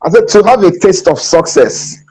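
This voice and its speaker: Husky-voiced male interviewer. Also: high voice, lively intonation, darkish tone, soft background music, low-quality recording. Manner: brief acknowledgements, halting questions. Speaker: interviewer, show host. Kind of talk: interview questions.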